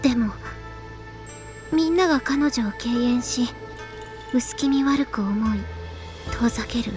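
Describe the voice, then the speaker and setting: A young woman playing an earnest, gently cautioning teenage schoolgirl in a Japanese visual novel. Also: a little high, normal speed, music in the background, natural voice. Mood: grave warning.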